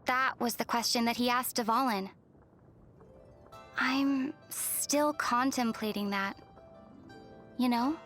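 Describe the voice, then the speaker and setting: A woman voicing a gentle, thoughtful young traveller heroine in a video game, with a soft, measured, musing delivery. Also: on the high side. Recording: music behind the voice.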